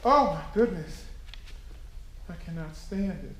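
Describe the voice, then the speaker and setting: A man's voice: sits high, expressive, slow pace. A man playing a weary, sardonic character in a staged dramatic reading, dry and evasive in manner. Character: weary, sardonic young man short of money. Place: small theatre stage. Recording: echoey theatre, some noise.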